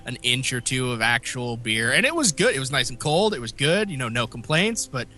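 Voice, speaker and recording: A man co-hosting a casual podcast, telling rambling stories with a slightly phlegmy, raspy voice. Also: medium pitch, very animated, brisk, sharp tone. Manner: rambling, self-interrupting storyteller. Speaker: podcast co-host. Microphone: remote call mic.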